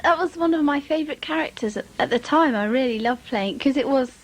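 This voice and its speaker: High-voiced woman reminiscing casually. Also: brisk. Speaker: voice actress being interviewed.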